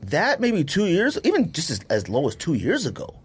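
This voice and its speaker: A man chatting casually about his hobby as a podcast co-host, animated, slangy and emphatic. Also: a little high, moderate pace, breathy edge. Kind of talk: casual sneaker chat.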